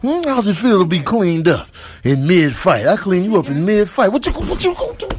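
A man's voice: sits high, big pitch swings, brisk, darkish tone, husky, full character voice. A man voicing a cocky, confrontational animal in a comic video voiceover, slangy and repetitive. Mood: taunting. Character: cocky, taunting male animal spoiling for a fight.

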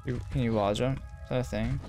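A man's voice: mid-range voice, steady tone, a little fast, music in the background. A man giving casual gameplay commentary as a streamer, muttering to himself in slangy, sweary talk. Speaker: streamer playing a video game.

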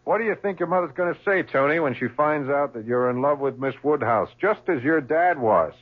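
Husky, needling police lieutenant. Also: male voice, on the high side, lively intonation, fairly quick. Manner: probing, insinuating. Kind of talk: 1970s radio drama acting. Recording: muffled 1970s radio drama recording.

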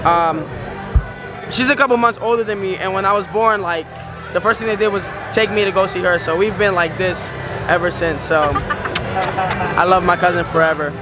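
A young man in his twenties from Colombia, chatting playfully to a handheld camera as a vlogger after a concert. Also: sits high. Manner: upbeat, playful banter, quick introductions. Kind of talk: casual vlog chat. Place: church venue after a concert, crowd around. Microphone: camera held at arm's length. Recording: loud crowd and music behind.

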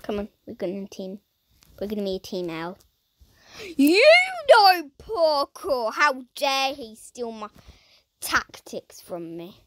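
A woman giving playful, dramatic commentary over a video game, her voice croaky and strained. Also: a little high, sing-song, slow pace. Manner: dramatic, silly, swings between shouting and whispering. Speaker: gaming YouTuber.

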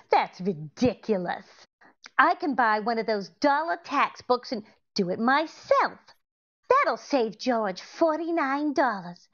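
High, scatterbrained 1940s radio comedy wife. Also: female voice. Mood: scheming, pleased. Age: middle-aged. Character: cheerful, scatterbrained, naively confident comic wife. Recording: slightly dull online-call audio.

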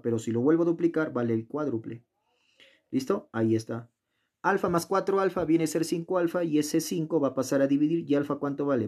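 A man's voice: medium pitch, darkish tone. A man tutoring geometry in a casual, chatty way, often asking the viewer questions and talking himself through the steps. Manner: chatty, asks the viewer questions, talks to himself while solving. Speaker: math tutor. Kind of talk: casual worked-problem lesson. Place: indoors at a desk, filming the worksheet from above.

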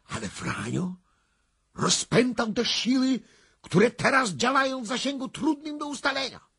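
An actor playing a self-assured, probing clairvoyant investigator in a radio drama, speaking in a formal, deliberate and emphatic husky voice. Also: male voice, extremely high pitch, sing-song, bright, forceful tone, no room sound, studio quality, full character voice. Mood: grave, assured.

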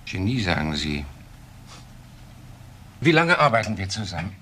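Desperate, deep-voiced controlling professor. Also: male voice, big pitch swings, a little slow, sharp tone, heavily acted. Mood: weary, reserved. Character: desperate, controlling professor who confesses to killing his wife. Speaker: actor in a crime radio play. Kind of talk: German crime radio play acting.

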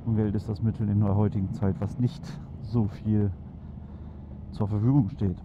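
A man's voice: lowish voice, flat delivery, normal speed. Chatty man riding. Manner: chatty, enthusiastic, many fillers. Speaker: motovlog host. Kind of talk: casual chat while riding. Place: on a motorcycle in city traffic outdoors. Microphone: helmet headset. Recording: muffled helmet mic with loud wind and traffic noise. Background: engine, wind and traffic.

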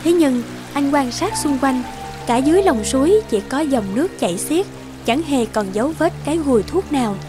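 A woman narrating a scripted fairy tale for a children's cartoon in a measured storytelling manner. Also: sits high, expressive. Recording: music clearly behind the voice.

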